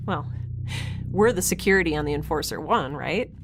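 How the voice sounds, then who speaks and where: A woman's voice: deep voice, expressive, moderate pace, background noise. A woman playing a capable but flustered young spaceship heroine in an audio drama, casual and wry.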